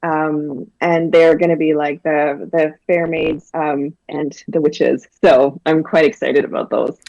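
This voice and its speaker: A woman's voice: low-pitched, little pitch movement, a little slow, creaky, a little mellow, no room sound, muffled recording. A woman from Guyana, a children's author, chatting animatedly in an interview with lots of fillers and restarts. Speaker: children's book author, guest. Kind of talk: casual interview chat.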